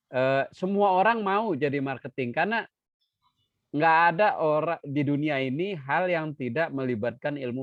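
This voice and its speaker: A man giving an online seminar talk as a trainer, lively and joking, sometimes acting out quoted dialogue. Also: medium pitch, no room sound. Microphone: video call. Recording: dry, dull lo-fi video call audio.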